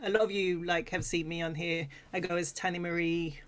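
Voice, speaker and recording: A woman's voice: fairly low pitch, flat delivery, brisk. A woman from Sweden co-hosting a livestream in a casual, chatty, giggly manner. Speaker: co-host of a livestream talk show.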